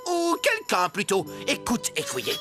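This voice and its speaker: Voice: mid-range voice, huge pitch swings, a little slow, over loud music. An actor playing a boastful, self-important brave knight in a cartoon storybook tale, pompous and declamatory.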